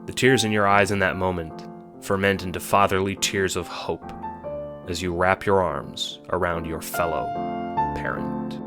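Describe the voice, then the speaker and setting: A man's voice: deep voice, steady tone, unhurried. A man narrating a scripted second-person audiobook in a dramatic storytelling manner. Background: music.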